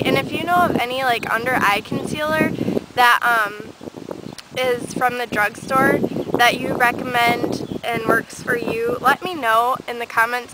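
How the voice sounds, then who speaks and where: Teenage woman, bright high voice, chatty and rambling, outdoor vlog, loud wind noise. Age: late teens. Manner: chatty, rambling with many fillers. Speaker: beauty vlogger. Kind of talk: casual chat to camera. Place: outdoors on a windy day. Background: wind noise and passing vehicles.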